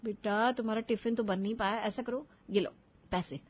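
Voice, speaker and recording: A woman's voice: fairly high pitch, steady tone, moderate pace, slightly rough. A woman playing a crafty, coaxing elder daughter-in-law and young mother in a cartoon. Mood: reassuring. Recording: dull lo-fi audio.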